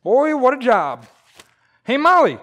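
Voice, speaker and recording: An actor playing a blustering, boastful, bumbling husband in old-time radio comedy, with folksy, grumbling exclamations. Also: male voice, very high voice, big pitch swings, unhurried, fairly bright tone, exaggerated voice. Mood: self-satisfied. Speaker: actor in community theater radio play.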